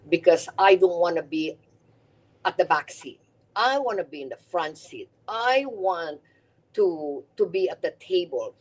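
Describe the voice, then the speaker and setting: A middle-aged woman with a Filipino accent talks in a low, deep register. She speaks earnestly as an interview guest. She often repeats words while she builds toward her point. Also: poor audio. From Philippines. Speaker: guest, nonprofit CEO. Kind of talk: podcast interview.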